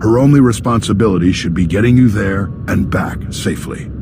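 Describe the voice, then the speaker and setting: An actor playing a composed senior Jedi master in a video game, measured, formal and courteous. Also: male voice, fairly low pitch, expressive, background noise, natural voice. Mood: cautioning. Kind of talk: scripted video game voice acting. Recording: loud music under the voice.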